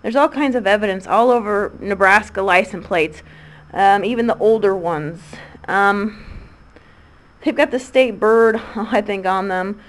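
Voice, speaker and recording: A woman's voice: medium pitch, a little slow. A woman in her thirties with a Midwestern American accent talks in a rambling monologue. She hesitates constantly, filling gaps with ums and restarting her sentences. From Midwestern United States. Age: 30s. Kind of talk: rambling recorded voice message.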